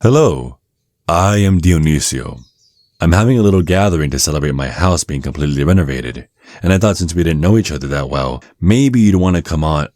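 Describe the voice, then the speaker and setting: An extremely low-voiced vampire acted out by a man, smug and possessive, speaks close and dry as if to a captive. The delivery is seductive, teasing and deliberate, in the manner of an intimate ASMR roleplay. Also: expressive, a little mellow, no room sound. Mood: falsely friendly. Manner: slow, teasing, deliberate, with breathy drops toward a whisper. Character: menacing, possessive male vampire neighbor, smugly seductive.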